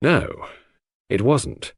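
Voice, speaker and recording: A man playing an earnest, cautious gentleman sleuth who explains his theories in a tentative manner. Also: lowish voice, big pitch swings, drawn-out words, slightly rough, dry recording, heavily acted. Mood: hesitant.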